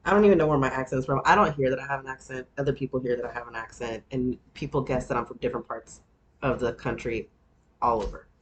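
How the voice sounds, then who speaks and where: A woman's voice: a bass voice, fast. A woman in her thirties from Texas with a Texan twang, host of a show, chatting casually on her own in a rambling, self-deprecating way with frequent laughs. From Texas, USA. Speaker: podcast host recapping a reality show. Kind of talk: casual solo chat.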